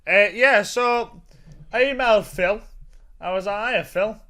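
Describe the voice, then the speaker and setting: Loud, sweary young British man. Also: sits high, measured pace. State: tipsy. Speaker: podcast co-host. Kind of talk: crude casual banter. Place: flat, indoors.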